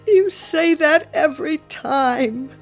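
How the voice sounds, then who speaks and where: A woman's voice: high-pitched, takes it slowly, gravelly, faint music behind, exaggerated voice. An actress playing an anxious, smothering older widowed mother in a radio drama, fretful, tearful and nagging, with self-pity. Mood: tearful reproach.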